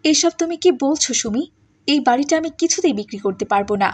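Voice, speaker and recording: Woman as devoted stepmother, high earnest voice. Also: expressive, fairly quick. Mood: earnest, firm. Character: devoted, principled widowed stepmother, gentle but firm. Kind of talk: Bengali cartoon voice-over.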